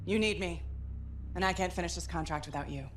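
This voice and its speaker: A quick-talking female freelancer from a video game, played by an actress. She is capable and determined, with a slightly low voice and a brisk, confident delivery. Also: music in the background, lightly acted. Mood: insistent. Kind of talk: video game cinematic acting.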